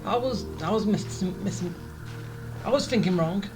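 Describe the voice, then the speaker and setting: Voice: mid-range voice, moderate pace, music in the background. A gamer giving casual, rambling gameplay commentary, thinking aloud. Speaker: gaming streamer.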